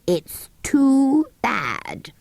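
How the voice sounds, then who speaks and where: A woman voicing a grumpy, ancient, sleepy sand fairy in a storybook reading, speaking low and drowsily. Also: huge pitch swings, very slow, creaky, a little mellow, dry recording, exaggerated voice. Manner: cross, grumbling, indulgent. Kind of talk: audiobook character voice.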